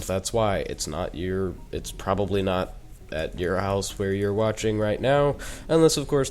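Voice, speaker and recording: Teen male gaming commentator, head cold, lowish voice, loose and jokey. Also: a little mellow. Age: teens. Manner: chatty and rambling, with joking asides. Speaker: gaming YouTuber. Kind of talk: casual gameplay commentary.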